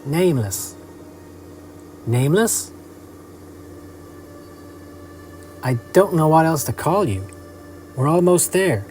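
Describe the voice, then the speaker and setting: A man's voice: a little high, sing-song, unhurried, darkish tone, pitched above the performer's own voice. A man voicing an urgent, businesslike recruiting agent in a motion comic, speaking insistently and directly. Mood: urgent, reassuring. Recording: music under the voice.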